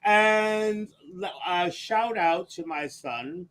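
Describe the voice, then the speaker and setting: A man hosting as a drag queen, with a deep raspy whiskey voice and a campy, sharp-tongued, self-mocking delivery. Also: slow pace. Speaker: drag queen podcast host. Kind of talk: campy casual chat.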